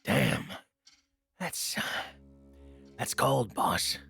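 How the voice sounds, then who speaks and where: Casting: male actor as hard-bitten henchman for audio drama, gravelly and forceful, blunt street talk, short fuse. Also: mid-range voice, huge pitch swings, extremely slow, soft background music, heavily acted. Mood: wry, hesitant. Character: tough, blunt, street-hardened male henchman, hot-tempered and wry.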